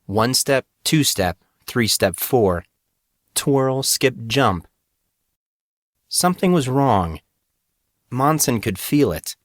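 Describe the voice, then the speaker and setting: A man's voice: mid-range voice, lively intonation, slow pace, pauses often, no echo, clean studio sound. A man reading scripted fiction as a dramatic audiobook narrator.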